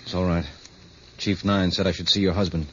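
Man playing low-voiced American newspaperman. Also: little pitch movement, a little fast, close to an everyday voice. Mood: casual, reassuring. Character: easygoing, discreet American newspaper correspondent. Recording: dull, faintly hissy old radio recording.

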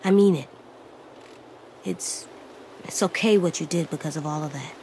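A woman voicing a blunt, guarded little boy survivor in a video game, speaking in terse, halting lines. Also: deep voice, mellow, slight background noise, poor audio. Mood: earnest, forgiving. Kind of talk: naturalistic video game dialogue acting. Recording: faint outdoor stream ambience.

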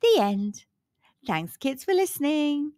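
A woman narrates a picture book for small children. Her voice is high and very expressive in pitch, yet she keeps a calm, unhurried pace. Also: dry recording, clean studio sound. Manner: calm, deliberate storyteller for young children. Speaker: children's storybook reader. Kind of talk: read-aloud story narration.

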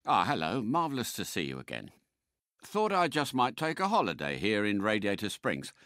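An actor playing a suave, courteous British spy car in a video game, a polite and unflappable mentor with refined, precise phrasing. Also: male voice, fairly low pitch, huge pitch swings, moderate pace, sharp tone, no room sound, studio quality, full character voice. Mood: cordial. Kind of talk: scripted game character voice lines.

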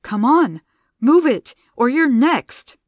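A woman voicing a tough, bossy gunslinger who barks orders loudly. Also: high-pitched, big pitch swings, takes it slowly, no room sound, clean studio sound, pitched above the performer's own voice, heavily acted. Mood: urgent. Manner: loud, barking orders.

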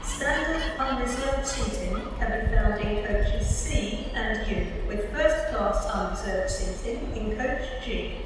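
A woman's automated railway announcement voice, with measured, evenly spaced delivery, played over a station PA and recorded from a distance on the platform. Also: medium pitch, animated, very noisy. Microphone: phone or camera recording a PA speaker from afar. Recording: echoey station PA heard from far off. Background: station ambience.

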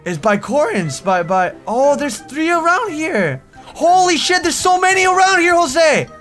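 A man chatting casually as he plays a roleplay video game, excitable and quick to exclaim or swear. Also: extremely high pitch. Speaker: gaming video host. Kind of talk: casual Minecraft roleplay chat while playing. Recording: music under the voice. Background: background music.

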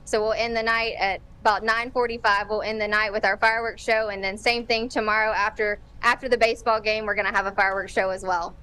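A woman's voice: medium pitch, level tone, fast talker, hardly any pauses, sharp tone, smooth. A young woman speaking as a marketing executive and guest in a live TV interview, running through event details from a stadium concourse over a video link. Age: young adult. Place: open-air stadium concourse.

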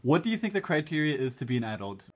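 Brisk male interviewer. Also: fairly high pitch, very animated, highs cut off. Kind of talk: reading interview questions.

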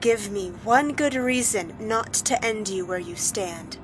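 A woman's voice: mid-range voice, music in the background, close to an everyday voice, rough recording. A woman voicing a guarded, defiant young female elf who speaks sharply and with suspicion, in a fan audio drama. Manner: sharp and suspicious, quick to threaten.

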